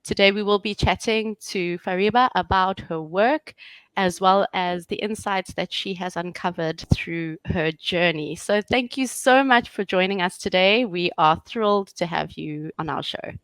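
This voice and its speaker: A woman's voice: lowish voice, a little slow, fairly bright tone, clean tone, no echo. A woman hosting an interview, reading an upbeat prepared introduction and then asking questions. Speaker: host. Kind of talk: scripted podcast introduction and interview.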